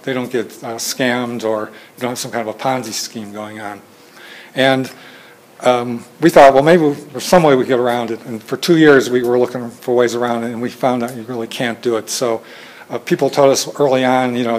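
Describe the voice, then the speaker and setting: A soft-spoken man giving an informal talk with slides to a training group, hesitant with many fillers and restarts, recorded on a phone in a meeting room. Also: medium pitch, steady tone, a little fast, low-quality recording. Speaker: presenter at a training session. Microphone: phone camera in the room.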